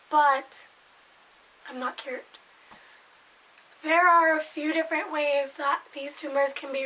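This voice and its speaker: Woman, 20s, hoarse raspy voice pitched high, loose rambling vlog delivery. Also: fairly bright tone, poor audio. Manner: rambling, loses her thread and repeats herself. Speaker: vlogger. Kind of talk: casual chat to camera.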